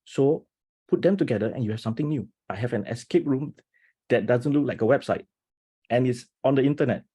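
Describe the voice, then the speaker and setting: A man's voice: mid-range voice, slightly rough. A man presenting as a teacher on a video call webinar, talking with energy and many restarts, often checking in with "right?". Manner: animated, talks fast with many restarts and rhetorical "right?". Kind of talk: enthusiastic webinar talk.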